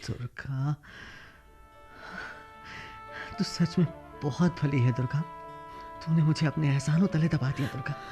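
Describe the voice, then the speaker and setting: A man playing an earnest, humble village schoolteacher in a radio drama, husky, hesitant and full of feeling. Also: fairly high pitch, normal speed, music in the background, close to an everyday voice. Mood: grateful. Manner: deferential, hesitant, emotional. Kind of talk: Hindi radio drama acting.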